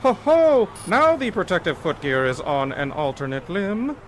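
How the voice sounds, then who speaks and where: Male actor as earnest, nervous alien in human form, audio comedy. Highish, swooping delivery, stiffly formal wording, noticeable background ambience. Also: big pitch swings, moderate pace, a little hiss or hum. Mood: excited. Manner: stilted, formal, literal speech without contractions. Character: anxious, earnest, naive alien observer posing as human. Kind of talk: comedic audio fiction acting.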